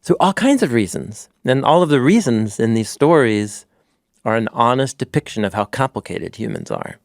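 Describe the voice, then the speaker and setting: A man's voice: medium pitch, normal speed, gravelly, no echo, clean studio sound. A man co-hosting a podcast, talking through ideas in an earnest, reflective, conversational way. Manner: earnest, thoughtful, lively emphasis. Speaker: podcast co-host.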